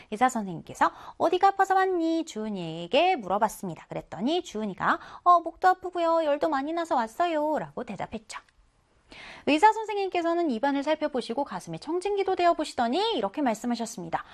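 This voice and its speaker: A woman with a high voice teaches a reading lesson for children. Her pitch swings widely as she explains, coaxing her young pupils along with questions and answering them herself.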